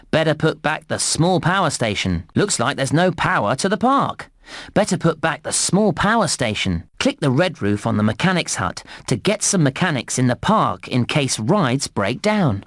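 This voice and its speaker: A man playing a friendly theme park guide in a children's game, giving tutorial instructions in an enthusiastic, encouraging and clearly instructive way. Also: a little high, dry recording, studio quality. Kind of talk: scripted video game tutorial narration.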